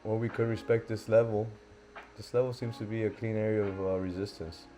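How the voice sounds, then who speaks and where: A man's voice: lowish voice, little pitch movement, brisk. A young man from Miami hosting a live stream, talking casually and loosely, thinking aloud with lots of fillers. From Miami, USA. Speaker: livestream host, forex trader. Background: faint lo-fi background music.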